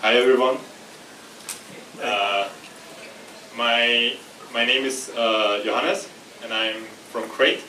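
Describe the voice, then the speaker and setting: A man from Austria giving a tech meetup talk, halting with many fillers and restarts, jet-lagged. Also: mid-range voice, fairly even intonation, sharp tone. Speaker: conference presenter. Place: meetup room. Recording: echoey room, distant mic, faint noise.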